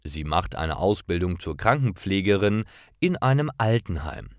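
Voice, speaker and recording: A man narrating scripted stories for language learners in careful, clearly separated sentences. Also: low voice, very animated, moderate pace, no echo, studio quality.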